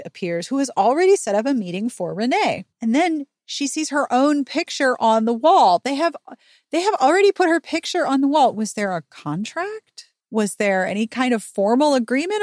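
A woman's voice: high voice, darkish tone, no room sound. Wry woman recapping a book, close dry sound. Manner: wry, exaggerated mock incredulity. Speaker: podcast host. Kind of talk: conversational book recap with comic asides.

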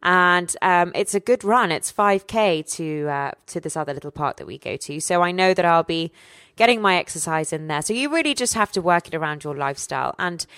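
A low-pitched woman in her forties speaks candidly and with energy about her own life. The sound is dry and close, with no room echo. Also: expressive, fairly quick, a bit bright, clean tone. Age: 40s. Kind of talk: casual chat.

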